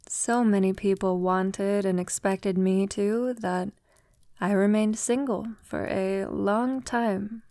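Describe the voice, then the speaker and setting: An ancient, elegant vampire played by a woman speaks to her lover in an intimate audio roleplay. Her delivery is slow, soft and tender, drifting often into near-whisper. She is a refined, affectionate woman with formal phrasing, heard in a very dry sound. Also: mid-range voice, no room sound. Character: affectionate centuries-old female vampire lover, refined and vulnerable.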